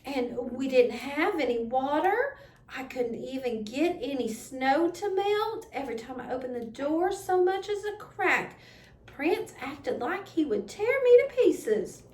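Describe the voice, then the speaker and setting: Storybook farm wife woman narrator performing, frightened, breathless and emphatic, raised pitch, echoing room. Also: big pitch swings, unhurried, a little mellow. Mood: anxious, emphatic. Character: frightened farm wife recounting a wild-animal scare. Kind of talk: children's storybook read-aloud. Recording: echoey room with background noise.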